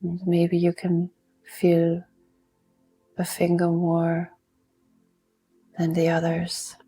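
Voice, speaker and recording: A woman leading a guided mindfulness meditation in a soft, soothing manner, unhurried and leaving long pauses between instructions. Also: fairly low pitch, monotone, faint music behind.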